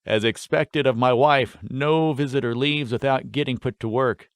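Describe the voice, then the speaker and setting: A man's voice: mid-range voice, moderate pace, no room sound, studio quality, close to an everyday voice. A man voicing a jovial, adoring father and gallant husband in a fantasy audiobook, hearty and flowery. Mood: amused. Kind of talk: fantasy audiobook character dialogue.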